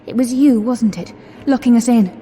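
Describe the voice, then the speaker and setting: Woman acting scared but stubborn young english lady, high voice, nervy audio drama, big reverb and faint music. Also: moderate pace, warm tone, close to an everyday voice. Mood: nervous, defiant. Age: young adult. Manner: urgent, nervy. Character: anxious, determined young woman trapped in dark archives. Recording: long hall reverb, music underneath.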